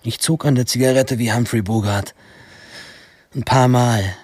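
A man's voice: mid-range voice, steady tone, unhurried, darkish tone, slight background noise, lightly acted. An actor narrating a radio drama as a wry young man looking back on his troubled teens, with a dry, ironic manner. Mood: wry, reflective.

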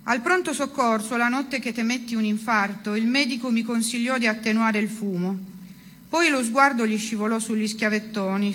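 A woman's voice: fairly high pitch, fairly bright tone, a little hiss or hum. A woman giving a dramatic literary reading of first-person prose. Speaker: reader of a literary text.

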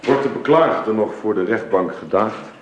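Man playing middle-aged remorseful gentleman, very low gravelly voice, formal halting speech, radio drama, roomy sound. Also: lively intonation, close to an everyday voice. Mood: awkward. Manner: hesitant, stammering, formally polite. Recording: dull, roomy, noisy radio drama recording.

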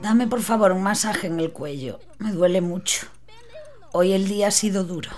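A woman's voice: mid-range voice, normal speed, a little mellow, natural voice. An actress playing a weary older working-class woman who sews for her masters, talking tenderly with her husband in a radio drama. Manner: tired, sighing, tender. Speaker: servant seamstress.

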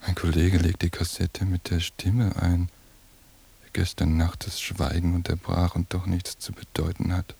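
Worn out lonely surveillance agent, low monotone husky mumble, deadpan radio play thoughts. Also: male voice, measured pace, full character voice. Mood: weary, desolate. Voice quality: husky, gravelly. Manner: flat, deadpan, clipped phrases. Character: tired, lonely male night-surveillance agent, detached and bitter. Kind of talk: radio drama interior monologue.

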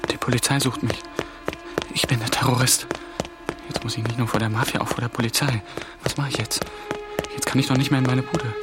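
A man's voice: medium pitch, steady tone, fairly quick, close to an everyday voice. A young actor playing a hunted male intern in a radio play, muttering anxious thoughts to himself. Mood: frantic. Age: young adult.

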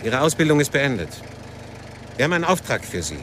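A man's voice: medium pitch, sing-song, fast talker, background noise, natural voice. An actor playing a curt, commanding male training camp captain in a German radio play, giving orders.

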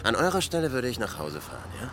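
An actor playing a gruff, huge bearded motel manager in an audio drama, curt and dismissive. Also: male voice, medium pitch, big pitch swings, quick pace. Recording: clear ambient noise behind.

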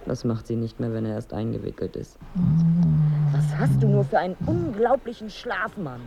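An actress in a radio drama playing a wry, frustrated wife who needles and broods aloud. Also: female voice, sits low, expressive, moderate pace, vocal fry, heavy background noise. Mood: brooding, resentful. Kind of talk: radio drama dialogue and monologue.

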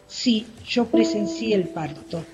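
A woman's voice: medium pitch, slow. A woman giving witness testimony, hesitant and searching for words. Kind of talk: courtroom testimony. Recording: dull, lo-fi archive recording. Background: music.

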